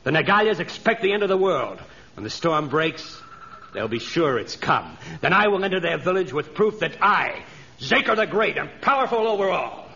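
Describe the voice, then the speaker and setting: An actor playing a boastful, scheming villain who poses as an all-powerful magician in a pompous 1930s radio acting style. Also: male voice, fairly high pitch, big pitch swings, normal speed, vocal fry, bright, forceful tone, exaggerated voice. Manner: pompous, theatrical, menacing. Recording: muffled, noisy 1930s radio recording.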